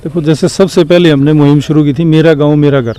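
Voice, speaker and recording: A man's voice: on the high side, fairly even intonation, a little fast, dark tone. A young man, a village head answering interview questions earnestly and explaining with examples.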